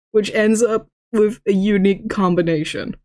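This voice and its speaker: A woman's voice: mid-range voice, unhurried, darkish tone. A woman with a lisp chatting casually on a live stream, rambling from story to story, stuttering and restarting words mid-sentence. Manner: chatty, rambling, laughs often.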